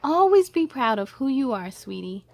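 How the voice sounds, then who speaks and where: A woman's voice: high-pitched, very animated, moderate pace, creaky, no room sound, heavily acted. Woman as a loving, encouraging storybook mother. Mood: reassuring. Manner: gentle, encouraging. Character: loving, reassuring mother in a picture book.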